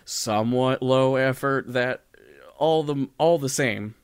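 A man's voice: medium pitch, takes it slowly, clean tone, no echo, clean studio sound. A man co-hosting a podcast, chatting casually about things. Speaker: podcast co-host.

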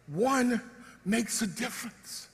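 A man preaching a lively sermon, acting out everyday scenes in voices. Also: very high-pitched, slow, vocal fry, long reverb tail. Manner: animated, acts out body parts in comic voices. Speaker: pastor. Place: church sanctuary.

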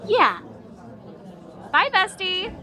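A woman's voice: extremely high pitch, very animated, drawn-out words, sharp tone, a faint crowd, heavily acted. A woman playing a scheming young student in an audio drama, sugary and coaxing one moment and snide the next. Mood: feigned sweetness. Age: young adult. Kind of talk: acted audio drama dialogue.

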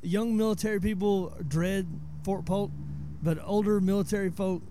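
A man's voice: high-pitched, fairly even intonation, moderate pace, background noise. A man in his forties with a Southern US accent, hosting a podcast in a laid-back, storytelling way and explaining where local words come from. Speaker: podcast host. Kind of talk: casual chat.

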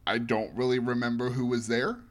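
A man's voice: medium pitch, little pitch movement, fairly quick. A man co-hosting a podcast in casual chat, recapping a reality show in an emphatic, opinionated way. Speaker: podcast co-host.

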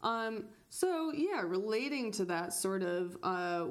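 A podcast host chatting casually and easily with a guest, asking questions and laughing often. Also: female voice, medium pitch, animated, slow. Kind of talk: casual conversation.

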